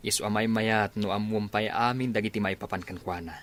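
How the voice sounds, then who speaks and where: A man's voice: fairly low pitch, steady tone, a little fast, a bit bright, close to an everyday voice. A man playing an easygoing young soldier in radio drama dialogue. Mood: earnest, advising. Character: easygoing young soldier, teasing elder-brother type.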